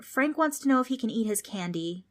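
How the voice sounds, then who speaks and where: Young girl, sharp and unimpressed, voiced by a woman narrator; higher and brighter than the narration. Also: expressive, fairly quick, lightly acted. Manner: blunt, matter-of-fact. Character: sharp-tongued young girl, blunt and practical.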